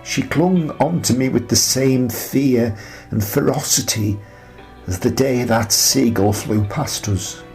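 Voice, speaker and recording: Man playing a slow, grieving old widower. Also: mid-range voice, darkish tone, faint music behind. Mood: grieving, emotional. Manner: slow, halting, reflective delivery. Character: bereaved old widower, tender, wistful, living in playhouse. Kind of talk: radio play monologue.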